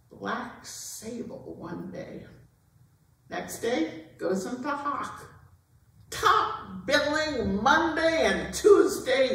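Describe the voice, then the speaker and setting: A woman acting a world-weary, wry veteran actress, reciting theatrically with dramatic pauses. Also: sits high, fairly bright tone, full character voice. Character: brassy, world-weary veteran showbiz actress, proud survivor. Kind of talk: theatrical recitation of show-tune lyrics. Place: performance hall, recorded from a distance. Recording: very echoey hall, distant microphone.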